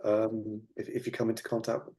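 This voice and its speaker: A man's voice: fairly low pitch, completely flat delivery, normal speed, noisy background. A man interviewing conversationally as a webinar host, asking tentative, rambling questions in several parts.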